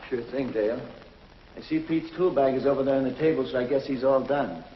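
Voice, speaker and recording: Man doing a steady heroic spaceman, 1950s TV sci-fi, echoey set, faint hiss. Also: mid-range voice, brisk, lightly acted. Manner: steady, matter-of-fact. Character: confident heroic male space pilot. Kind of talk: 1950s TV drama acting. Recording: dull roomy 1950s TV soundtrack.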